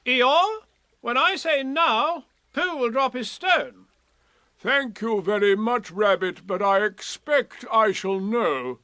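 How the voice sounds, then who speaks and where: A man voicing Rabbit, a fussy, bossy rabbit who gives brisk orders in a storybook reading. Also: very high voice, sharp tone, no room sound, pitched above the performer's own voice, full character voice. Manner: brisk, commanding.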